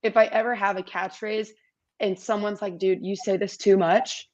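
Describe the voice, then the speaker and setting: A woman in her twenties with a slightly raspy voice, chatting upbeat as a guest in an interview over a video call. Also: mid-range voice, fairly even intonation, normal speed, darkish tone, dull audio. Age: 20s. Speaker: competition MC, guest. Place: small indoor room.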